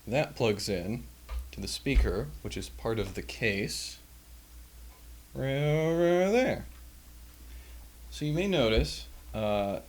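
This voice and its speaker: A man's voice: mid-range voice, a little hiss or hum. A man giving a casual, unscripted hands-on demonstration of old equipment in a wry, self-deprecating, offhand way. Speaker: host demonstrating vintage audio equipment.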